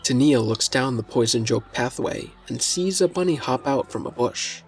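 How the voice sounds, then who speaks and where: A man's voice: mid-range voice, lively intonation, normal speed. Male story narrator over faint music.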